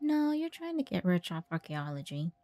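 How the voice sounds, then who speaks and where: Mellow-voiced woman streaming a game. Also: on the low side, very animated, moderate pace, faint music behind. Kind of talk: casual gameplay commentary.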